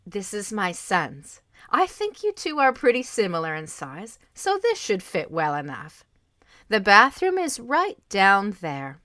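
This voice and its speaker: Woman doing a motherly folksy older society lady, bright forceful voice, really slow, kind and blunt, radio play style. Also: mid-range voice, huge pitch swings, full character voice. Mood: welcoming. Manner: folksy, drawn-out, emphatic. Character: kindly, plain-spoken, motherly middle-aged society woman with large warmth.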